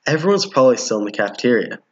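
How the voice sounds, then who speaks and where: Husky man streaming games, muffled and roomy. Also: medium pitch, very animated, rapid-fire. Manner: stumbles while reading, repeats lines. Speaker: streamer playing a visual novel with viewers. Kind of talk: casual game commentary and reading dialogue aloud. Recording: muffled, roomy home recording.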